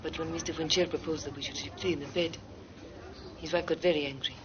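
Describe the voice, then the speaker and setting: An actress playing a talkative Parisian streetwalker, rambling and confiding, sometimes dropping to a whisper, on a dull, noisy old film soundtrack. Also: female voice, sits low, fairly even intonation, brisk, close to an everyday voice. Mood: wistful.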